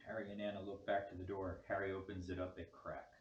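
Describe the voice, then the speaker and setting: A man's voice: low-pitched, flat delivery, fast, dull audio. A man reading stage directions as scripted narration at a table read. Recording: echoey room, distant mic, clear noise.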